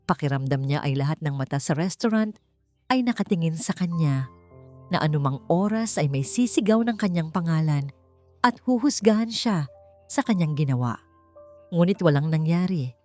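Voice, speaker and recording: A woman narrating a scripted story in a dramatic, suspenseful style, with deliberate short emphatic phrases. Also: low voice, expressive, darkish tone. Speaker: audiobook narrator. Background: background music.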